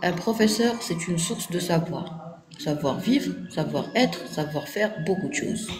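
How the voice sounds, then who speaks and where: A woman's voice: fairly low pitch, expressive, a little slow, a crowd in the background. A woman speaking French with an Arabic accent, Arabic as her first language, answering viewers in a firm, didactic live stream and checking agreement with "ok?". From Morocco. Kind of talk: casual live-stream talk.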